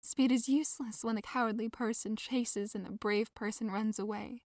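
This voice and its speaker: A devoted, lovesick young woman played by an actress in an audio drama. She pleads with earnest theatrical feeling in a fairly high voice, recorded close with no room sound. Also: steady tone, moderate pace, a little mellow, no echo, close to an everyday voice. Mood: hurt, determined.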